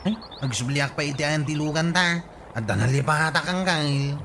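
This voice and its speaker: An actor playing an easygoing village sidekick with an Ilocano accent in a radio comedy drama. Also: male voice, a little high, normal speed, sharp tone, slight background noise. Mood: cheerful.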